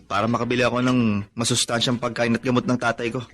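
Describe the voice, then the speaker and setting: A man's voice: medium pitch, level tone, fast, a bit bright, slight background noise, lightly acted. A Filipino man playing a devoted, long-suffering son in a radio drama, earnest, plaintive and respectful. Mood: hurt, anguished. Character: devoted, suffering, long-abused son who scavenges for junk.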